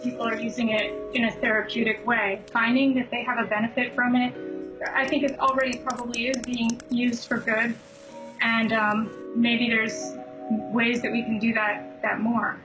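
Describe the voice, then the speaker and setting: A woman's voice: on the high side, little pitch movement, normal speed, low-quality recording. A woman giving explanations as an expert interviewee. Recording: music bed behind voice.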